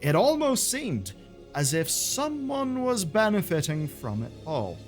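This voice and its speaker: Animated male storyteller over music. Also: sits high, measured pace. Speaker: presenter of a video game lore channel. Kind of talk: dramatic scripted storytelling narration.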